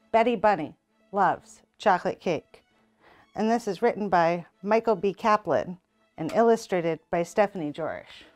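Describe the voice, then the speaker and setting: A woman's voice: medium pitch, darkish tone. A young woman reading a children's storybook aloud as a narrator. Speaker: EMT reading a children's book. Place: small indoor room.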